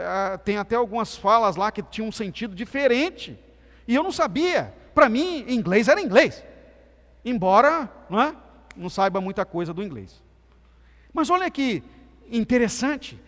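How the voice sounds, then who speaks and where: A man from Minas Gerais with a softened Minas Gerais accent, preaching a sermon as pastor with emphatic swings between loud and soft delivery. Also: very high voice. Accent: Brazilian Portuguese, softened Minas Gerais. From Minas Gerais, Brazil. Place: church sanctuary. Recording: church hall reverb.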